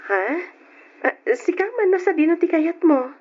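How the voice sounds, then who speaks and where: A woman's voice: very high voice, animated, moderate pace, darkish tone, highs cut off. An actress with an Ilocano accent playing a sweet, grateful young student girlfriend in an old radio drama. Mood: sweet, agreeable.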